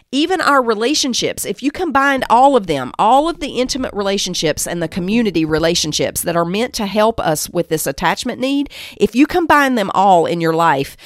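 A woman teaches in a devotional style with a medium-pitched voice. She exhorts the listener emphatically and repeats phrases for weight. The recording is dry, with very little room sound. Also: no room sound. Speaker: Bible teacher and podcast host.

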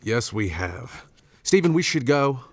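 A man's voice: medium pitch, sing-song, a little slow, no echo. An actor playing a troubled, earnest betrothed man torn by conscience, hesitant and anguished, in a biblical audio drama. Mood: searching.

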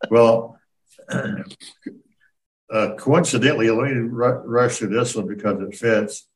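A man co-hosting a podcast, telling odd news stories casually and playfully, often halting and repeating words. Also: medium pitch, recorded from a distance, poor audio. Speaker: podcast co-host.

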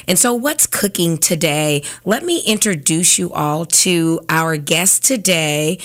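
A woman hosting a radio cooking segment, raspy from sinus trouble, chatting casually with lots of fillers in a studio. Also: fairly low pitch, expressive, slow pace.

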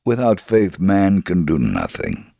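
An actor playing a devout, steadfast Israeli father in an earnest, deliberate, theatrical dramatic reading of a memoir. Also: low-pitched, wildly swooping pitch, gravelly, warm tone, no echo, clean studio sound, exaggerated voice. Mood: firm, admonishing. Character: devout, steadfast Israeli father telling his life story.

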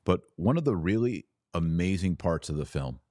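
A man with a very low voice reviews a film on his podcast in an earnest monologue. He leans hard on the words he wants to stress. The sound is dry and close to the mic. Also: animated, moderate pace, mellow, no echo. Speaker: podcast host.

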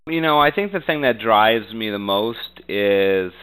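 American man, low pitch, clean resonant tone, conversational, earnest, halting phrasing, interview guest. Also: lively intonation, fairly bright tone, clean tone, low-quality recording. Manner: earnest, halting, peppered with "you know". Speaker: interview guest, business owner.

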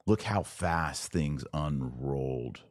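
A man with an American accent and a low-pitched voice hosts a live commentary podcast. He talks straight to his viewers in an emphatic way, repeating words to drive points home. The sound is dry, with very little room in it. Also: slow pace, a little mellow, dry recording. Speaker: podcast host and religious commentator.

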